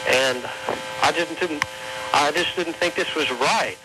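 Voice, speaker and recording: A man on a radio phone-in telling a story in a halting, deliberate, earnest-sounding way. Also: a little high, pushed and bright. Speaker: caller to a radio show. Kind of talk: slow, hesitant phone-in anecdote. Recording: humming, noisy radio phone call.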